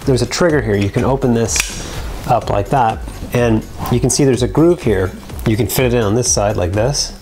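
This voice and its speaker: A man in his thirties from Canada, explaining sailing gear in a practical, steady casual review, recorded close to the camera in a small bare room. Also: medium pitch, normal speed, faint music behind.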